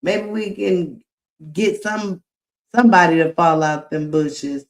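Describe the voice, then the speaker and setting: Slow-talking woman livestreamer. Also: on the low side. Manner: slow, drawn-out talk, addressing viewers directly. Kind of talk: casual livestream commentary to chat.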